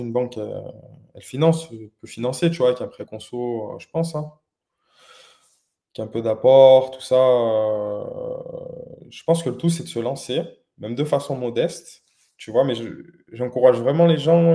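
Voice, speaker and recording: A young man talking casually as the guest in an interview, his voice mellow and relaxed. He hesitates often, restarting phrases and filling gaps as he goes. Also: medium pitch, moderate pace. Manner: hesitant, many fillers and restarts. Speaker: interviewed entrepreneur.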